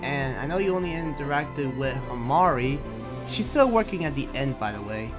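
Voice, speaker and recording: A man's voice: mid-range voice, lively intonation, moderate pace, natural voice. A man playing a friendly, reassuring but cautious townsman in an improvised anime game roleplay, rambling hesitantly in conversation. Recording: loud background music. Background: music.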